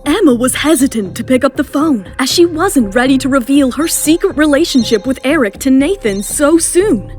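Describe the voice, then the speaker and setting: High-pitched woman narrating a romance serial. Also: lively intonation, moderate pace, few pauses, a little mellow. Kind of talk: dramatic scripted narration of a romance audio serial. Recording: music bed under the voice.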